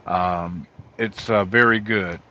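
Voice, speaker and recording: A middle-aged or older man, a trucker, giving an informal, halting walkthrough of gear from inside his truck cab. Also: low voice, steady tone, bright, forceful tone, a little hiss or hum. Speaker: truck driver vlogger. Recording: dull lo-fi audio.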